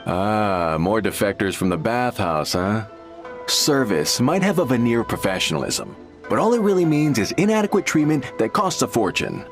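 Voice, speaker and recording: A man's voice: fairly low pitch, big pitch swings, moderate pace, over music. An actor playing a smug, confiding male client in a game who passes on gossip with confidence. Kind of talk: game voice acting.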